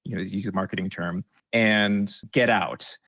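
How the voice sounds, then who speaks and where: A man's voice: fairly low pitch, fairly even intonation, unhurried, fairly bright tone, no room sound, clean studio sound. A man giving conversational, explanatory advice as an expert guest in an interview. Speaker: guest expert on game trailers.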